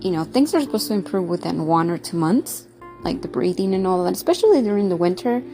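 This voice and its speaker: A woman's voice: on the low side, lively intonation, quick pace, dark tone, soft background music. A young woman vlogging in a casual, rambling way, full of fillers and restarts, her voice sounding congested and nasal. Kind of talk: casual talk to camera.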